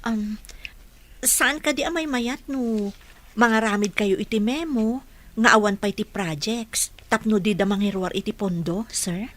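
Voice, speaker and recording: A woman's voice: medium pitch, a little slow, fairly bright tone, natural voice. A woman with an Ilocano accent playing a deferential, caring household aide in an audio drama. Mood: concerned, respectful. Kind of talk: radio-style Filipino drama dialogue.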